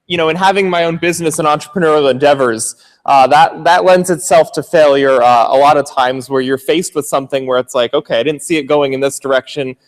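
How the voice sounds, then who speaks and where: A man speaking on stage as an entrepreneur, with a loud projecting voice, reflecting on lessons from failure. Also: on the high side, brisk. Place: large auditorium stage. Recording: long hall reverb.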